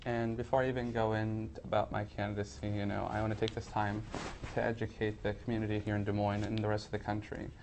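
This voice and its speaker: Earnest young man, gravelly and even. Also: on the low side, a little hiss or hum. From Somalia. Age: 20s. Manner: earnest, measured, speech-like delivery. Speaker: city council candidate. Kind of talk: editorial board interview statement. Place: newspaper meeting room, indoors.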